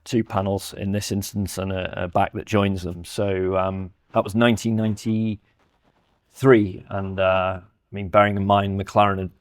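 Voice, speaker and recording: A man's voice: low voice, level tone, unhurried. A man giving a step-by-step technical explanation as a company representative presenting audio products.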